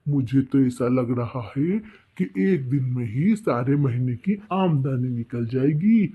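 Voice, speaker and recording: A man's voice: mid-range voice, lively intonation, measured pace, slightly rough. A man voicing an anxious, plaintive husband bird, a sweet-maker in a children's cartoon, with thin phone-like sound. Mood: amazed. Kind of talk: children's cartoon story voice acting.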